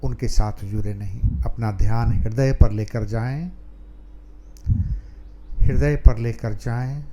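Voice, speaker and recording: Guy doing guided meditation, really slow n soft-edged mellow voice, calm, lots of pauses. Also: medium pitch. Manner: slow, calm, pausing between short phrases. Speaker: meditation teacher.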